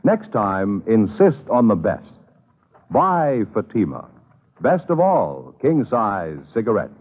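A male announcer delivers a vintage radio commercial with emphatic, deliberate salesmanship. His slightly rough voice swings widely in pitch, and the broadcast sound is thin and narrow. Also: very animated. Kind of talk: 1950s radio advertisement.

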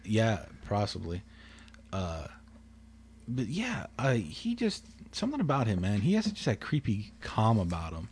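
A man co-hosting a casual podcast chat, talking quietly and calmly, rambling with restarts and swearing. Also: fairly low pitch, a little slow, a little hiss or hum. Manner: quiet, calm delivery; rambling with frequent restarts and profanity. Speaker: podcast co-host.

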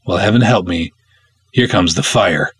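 This is an American man with a fairly low voice, captured close and dry. He hosts a podcast in an expressive storyteller's style, with a playful, theatrical touch. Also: moderate pace, breathy edge, no echo. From Minnesota, United States. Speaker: podcast host.

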